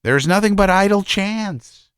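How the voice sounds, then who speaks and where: A man voicing a gentle, guilty middle-aged doctor who speaks softly and stammers. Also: sits high, normal speed, clean tone, no echo, clean studio sound. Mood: resigned. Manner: soft-spoken, stammering.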